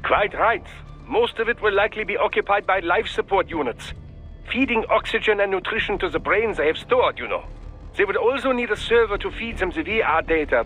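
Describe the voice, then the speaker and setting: Fussy, hesitant surgeon. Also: male voice, high-pitched, pushed and bright, poor audio. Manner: hesitant, formal, full of uh. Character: hesitant cyborg surgeon doctor, middle-aged man, fussy. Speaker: doctor. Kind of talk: game cutscene acting.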